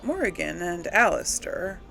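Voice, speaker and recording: A woman giving casual let's play commentary to her audience, rambling and thinking out loud. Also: lowish voice, very animated, unhurried, creaky, slight background noise, rough recording. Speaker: host of a gaming role-play series.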